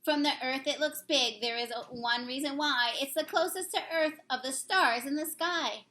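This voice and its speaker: A woman teacher reading a rhyming picture book aloud to children in an expressive, sing-song storybook manner. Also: high voice, moderate pace.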